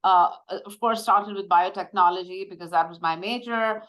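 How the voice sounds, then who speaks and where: A woman's voice: mid-range voice, lo-fi sound. Reflective woman from India. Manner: reflective, measured, with frequent fillers. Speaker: guest, medical device executive. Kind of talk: conversational interview.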